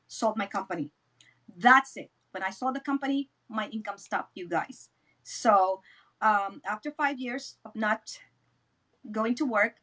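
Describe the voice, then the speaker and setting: A woman's voice: a little high, a bit bright. A middle-aged woman from the Philippines with a Filipino accent, telling her personal story as an interview guest and repeating words for emphasis. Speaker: interview guest, network marketing business leader. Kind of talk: personal testimonial in an online interview.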